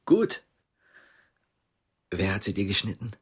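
Guarded cop in a radio play, lowish voice, dry and terse. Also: male voice, sing-song, takes it slowly, husky, exaggerated voice. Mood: gentle, concerned. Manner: terse, low-key. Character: guarded, dry East German police investigator. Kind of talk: radio drama acting.

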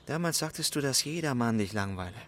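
A man's voice: medium pitch, animated, fast, a bit bright, slight background noise. A man acting a brooding, needling swindler in love, with an intense, probing manner, in a German radio play.

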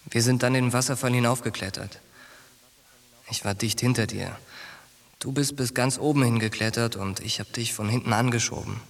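A man's voice: medium pitch, moderate pace, vocal fry. An actor playing a brash, cocky young soldier in a German radio drama, coarse and pushy with his boyhood friend. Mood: reminiscing.

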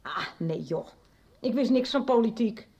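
A woman's voice: a little high, animated, unhurried, warm tone, husky, heavily acted. An actress in a 1990s radio play voicing a middle-aged woman who chattily confides her past, with little hesitations. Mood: confiding. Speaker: radio drama character. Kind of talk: 1990s radio drama acting.

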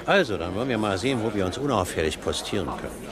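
A man's voice: fairly low pitch, animated, fast talker, natural voice. An actor playing a cautious, skeptical male doctor in a radio play. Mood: wary. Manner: skeptical, wary. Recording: loud crowd and traffic noise.

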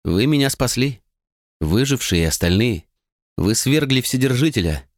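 A man's voice: medium pitch, animated, moderate pace, no echo, clean studio sound, voice pushed up, natural voice. A man voicing a bitter, betrayed young noblewoman in an audiobook, talking in an earnest, rueful way. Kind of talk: audiobook character reading.

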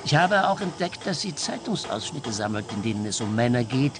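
A man's voice: mid-range voice, very animated, a little fast, close to an everyday voice. A man playing a fearful, worried husband in a radio play, speaking earnestly and precisely. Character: anxious, fearful husband, a detective's client.

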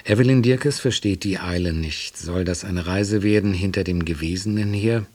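A man with a husky voice reading a scripted announcement as a radio announcer. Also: low-pitched, moderate pace, darkish tone.

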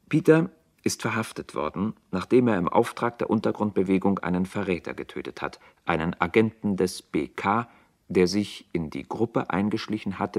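Deep-voiced sober male radio narrator. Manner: sober, formal. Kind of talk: scripted narration.

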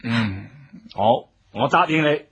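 An actor with a Hong Kong accent playing a suave, teasing suitor in a radio drama, glib and wheedling with theatrical sighs. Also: male voice, medium pitch, animated, takes it slowly, a bit bright, muffled recording, slight background noise. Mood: obliging. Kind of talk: Cantonese radio drama acting.

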